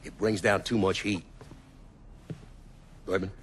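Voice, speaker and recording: An actor playing a menacing, domineering middle-aged crime boss in a video game, blunt and profane. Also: male voice, low voice, fairly even intonation, takes it slowly, slight background noise. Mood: gruff, businesslike. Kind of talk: video game cutscene acting.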